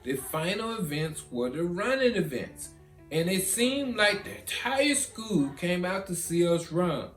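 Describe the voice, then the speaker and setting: A man reading a children's storybook as narrator, animated and with dramatic pauses. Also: sits high. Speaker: author reading own children's book. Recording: roomy echo, guitar music behind.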